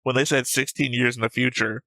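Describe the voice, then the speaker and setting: A man hosts a casual reaction show in a crisp, bright voice with a very dry sound. He talks analytically and picks at small details. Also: mid-range voice, fairly even intonation, brisk, no echo, poor audio. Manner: analytical, nitpicking.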